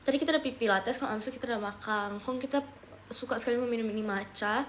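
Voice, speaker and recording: A woman's voice: a little high, racing, slight background noise. A young woman from Papua, Indonesia, chatting casually on a livestream, answering viewers and slipping English phrases into her talk. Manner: relaxed, chatty, answers questions as she reads them. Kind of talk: casual live chat reading viewer comments.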